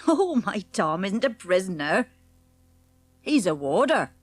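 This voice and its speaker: A woman playing a kindly, busy village shopwoman in an audiobook, brisk and chatty. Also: mid-range voice, sing-song, no room sound, soft background music. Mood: chatty, motherly. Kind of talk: audiobook character dialogue.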